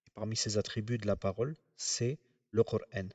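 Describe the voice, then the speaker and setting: Male religious teacher, lowish voice, steady explanatory lecture, dry sound, a bit off-mic. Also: dry recording, far from the mic. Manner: measured, explanatory, pauses often.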